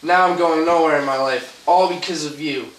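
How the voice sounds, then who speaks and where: A man's voice: a little high, normal speed, a bit bright, natural voice. Man acting bitter fired worker, echoey and distant. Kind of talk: amateur one-act stage play. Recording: echoey, distant room with noise.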